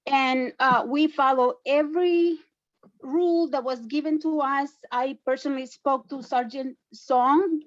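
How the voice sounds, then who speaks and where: High-voiced woman with a Salvadoran accent. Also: dull audio. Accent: Salvadoran Spanish. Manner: insistent and defensive, repeats points. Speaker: public commenter. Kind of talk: public comment at an online town hall.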